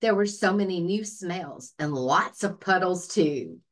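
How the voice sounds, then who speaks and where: A woman librarian reads a children's story aloud. She takes her time and lets her pitch rise and fall expressively, shaping each phrase for young listeners. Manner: expressive storytelling with deliberate pacing. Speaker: librarian reading children's picture books aloud.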